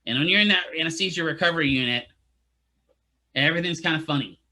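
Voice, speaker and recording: A man's voice: a little high, fast talker, sharp tone. A man teaching physical therapy students in a casual lecture, often checking in with "right?" and making joking asides. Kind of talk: classroom lecture.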